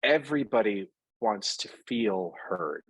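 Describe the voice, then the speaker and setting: A man being interviewed about his work in fashion, talking in a lively, conversational way and working through examples with frequent restarts. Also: fairly low pitch, measured pace, breathy edge, no room sound, rough recording. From Puerto Rico. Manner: animated, talks through examples with self-corrections. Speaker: interview guest, fashion product executive.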